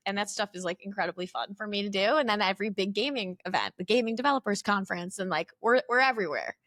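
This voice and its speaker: A woman's voice: mid-range voice, animated, fast, a bit bright, no echo. A woman in her thirties with a Chicago accent, a guest on a podcast who talks in a chatty, rambling way full of fillers and restarts. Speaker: guest, company CEO. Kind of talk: casual interview chat.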